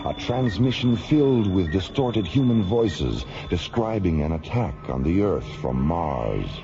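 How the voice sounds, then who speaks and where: A man's voice: low voice, sing-song, unhurried, over music. A man delivering scripted dramatic radio narration as an announcer. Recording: dull radio recording, faint noise.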